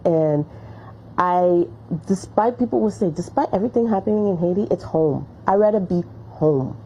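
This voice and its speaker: Low, dark-voiced woman in an interview. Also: animated, moderate pace, lo-fi sound, a little hiss or hum. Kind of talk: documentary interview.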